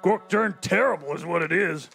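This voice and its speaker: A blunt, folksy space cowboy acted by a man with an American drawl in a comic radio play. The voice is gravelly and fairly high for a man, that of a hard drinker. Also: moderate pace, fairly bright tone, soft background music. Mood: folksy, tipsy. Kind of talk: live radio play read-through.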